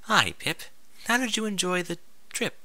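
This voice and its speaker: Storybook pony princess, male reader: sadistic mentor, gleeful sing-song, animated and piercing. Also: sits high, moderate pace, no echo, voice pushed up, exaggerated voice. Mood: mock-sweet, teasing.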